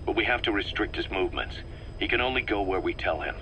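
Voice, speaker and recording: Stern, low, forceful mercenary man. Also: animated, fast, poor audio. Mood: stern, distrustful. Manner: clipped, authoritative, suspicious. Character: stern, distrustful male mercenary second-in-command briefing his commander. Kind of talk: dramatic video game briefing. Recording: faint background noise on cassette recording.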